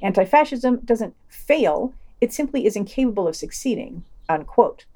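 A woman's voice: a little high, animated, moderate pace, dark tone. A woman hosting a podcast, reading scripted reviews and quotations in a matter-of-fact, opinionated way. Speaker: podcast host reading a news roundup. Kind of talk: scripted review commentary, read aloud with quotations.

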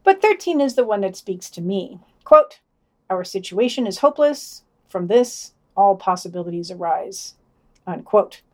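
A woman's voice: mid-range voice, very animated, measured pace, darkish tone. A woman co-hosting a podcast, reading out a news digest with dry, wry asides. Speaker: podcast co-host.

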